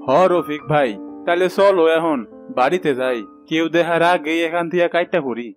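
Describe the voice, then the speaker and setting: A man's voice: on the high side, moderate pace, close to an everyday voice. A man voicing an eager, grumbling sidekick thief in a cartoon, with a rural Bangladeshi Bengali accent. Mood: agreeable. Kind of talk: cartoon voice acting. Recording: music behind the voice.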